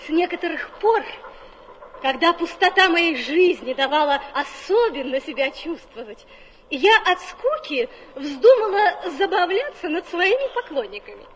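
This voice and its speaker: Flirtatious self-mocking woman, very high pitch, playful, expressive, theatrical radio drama, slight background noise. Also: unhurried, full character voice, rough recording. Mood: teasing confession. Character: coquettish, teasing actress, self-mocking, tender underneath.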